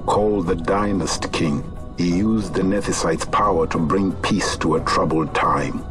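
An ancient horned beast-folk chief for a fantasy game. He speaks very slowly in a low register, grave and oracular, with archaic turns of phrase. Music plays clearly beneath him. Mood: grave, solemn. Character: solemn aged great chief of a tribe of horned beast-folk. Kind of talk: dramatic video game voice acting.

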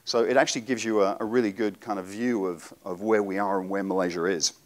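Guy giving a talk at a seminar, kinda low voice, easygoing, says um a lot, hotel meeting room. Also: fast. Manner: relaxed, frequent ums, explanatory. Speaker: presenter. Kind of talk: live seminar presentation. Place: hotel conference room with audience.

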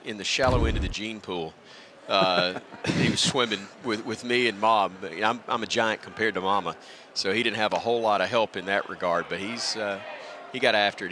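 A middle-aged man with a very deep, forceful voice talks in a conversational booth interview. He is modest and reflective, poking fun at himself. Faint outdoor ballpark ambience sits behind his headset mic. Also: slight background noise. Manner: self-deprecating, joking, reflective. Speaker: guest, radio play-by-play announcer. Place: stadium press booth at a baseball game. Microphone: broadcast headset.

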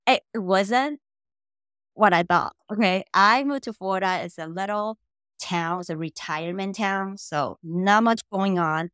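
Animated young woman. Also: medium pitch, unhurried, smooth, dry recording, studio quality. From Taiwan. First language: Mandarin. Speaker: podcast co-host. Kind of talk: casual chat. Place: small bedroom at home. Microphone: laptop webcam on a video call.

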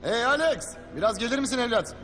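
A man's voice: very high voice, expressive, quick pace, in a large hall. An actor playing an easygoing, confident adventurer father in a dubbed cartoon. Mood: warm. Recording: loud music behind the voice.

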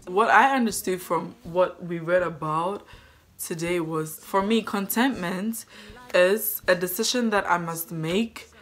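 A young woman with a deep, low voice reading a daily devotion aloud, then reflecting on it earnestly in a casual way, filming herself up close in her bedroom. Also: unhurried. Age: young adult. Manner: earnest, thoughtful, with fillers like "like". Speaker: devotional vlogger. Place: bedroom with a tapestry on the wall.

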